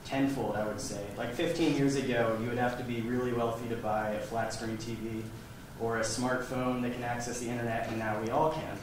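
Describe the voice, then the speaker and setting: A man's voice: medium pitch, level tone, fairly quick. A man making a debate argument in a casual, fluent way, often saying "like". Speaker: student debater. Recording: echoey hall from far off, noisy.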